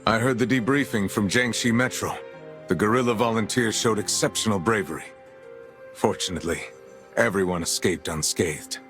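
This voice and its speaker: An actor playing a grave veteran lord and military commander in a video game, speaking in a formal, measured way. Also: male voice, fairly low pitch, fairly bright tone, soft background music, lightly acted. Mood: grave, resolute. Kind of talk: dramatic video game cutscene acting.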